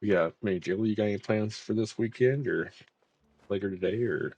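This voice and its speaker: Laid-back young male streamer, husky. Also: on the low side, a little fast, a little mellow, no room sound, lo-fi sound. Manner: laid-back, laughs often, rambling. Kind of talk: casual live game commentary.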